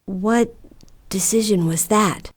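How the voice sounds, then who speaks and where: A woman playing a mother and nun in an audio drama, with a deliberate, dramatic delivery. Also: mid-range voice, husky. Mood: surprised. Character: stern, busy mother, later a reflective nun.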